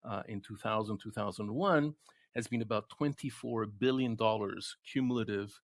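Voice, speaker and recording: A man whose first language is Spanish, born in Chile, in his fifties, answering interview questions as a guest economist in a halting way full of ums and uhs. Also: fairly low pitch, dry recording, clean studio sound. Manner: halting, many ums and uhs, restarts often.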